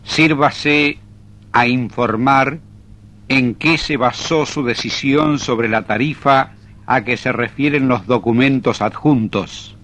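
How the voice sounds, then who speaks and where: An officious male department chief from a radio comedy. He speaks very formally in a medium-pitched voice. Every phrase is measured out slowly, like official dictation. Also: a bit bright. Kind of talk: 1970s-80s comic radio drama acting.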